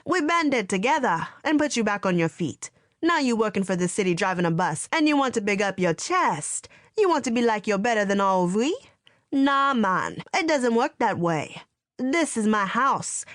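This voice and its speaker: A woman's voice: medium pitch, big pitch swings, moderate pace, dry recording, voice pushed up, natural voice. Woman doing a elderly Jamaican grandmother, thick Jamaican accent, firm, scolding, dry close sound. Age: older.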